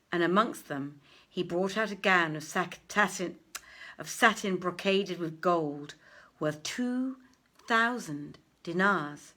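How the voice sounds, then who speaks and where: Unhurried woman storyteller. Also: low-pitched, a bit bright. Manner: theatrical, deliberate. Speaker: storyteller, reader. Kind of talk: expressive literary narration of an old tale.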